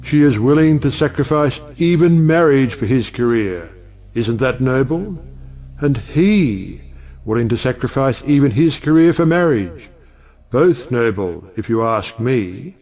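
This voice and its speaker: An actor playing a wry, provocative middle-aged judge and uncle in an audio play, speaking in a rhetorical, oratorical way. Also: male voice, medium pitch, animated, a little slow, a little mellow, lightly acted. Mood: wry, teasing. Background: music.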